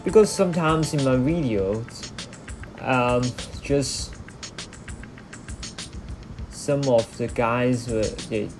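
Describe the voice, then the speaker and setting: Slow, halting man with a Hong Kong accent. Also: medium pitch. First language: Cantonese. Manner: halting, repeats words while searching for English. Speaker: live streamer answering chat. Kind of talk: live stream Q&A chat. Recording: music playing clearly behind.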